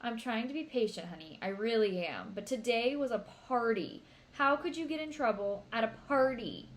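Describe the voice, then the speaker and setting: A woman voicing a weary, concerned working mother in a storybook, gently scolding with earnest pleading. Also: a little high, animated, moderate pace, close to an everyday voice. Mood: strained patience.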